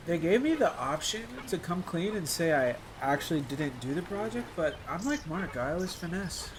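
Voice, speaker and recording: A man's voice: a little high, big pitch swings, moderate pace, background noise. A young man giving casual, slangy video game commentary with a wry manner. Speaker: gaming content creator. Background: game music.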